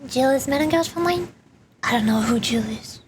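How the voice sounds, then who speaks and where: A woman's voice: high-pitched, expressive, moderate pace. A woman streamer chatting casually and rambling during a game livestream, with noise behind her. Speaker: streamer, virtual YouTuber.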